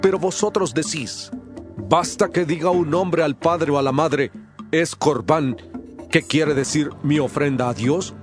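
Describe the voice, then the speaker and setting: A male actor plays an authoritative religious teacher in a scripture drama. His voice sits somewhat high for a man and is solemn and deliberate. Music plays clearly behind him. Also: natural voice. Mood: stern rebuke. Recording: clear music bed under voice.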